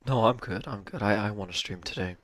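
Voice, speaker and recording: A man hosting a live day-trading stream, hoarse and losing his voice, talking casually with a cough drop in his mouth and clearing his throat. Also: on the low side, a little fast, fairly bright tone, no room sound. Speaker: livestream day-trading host.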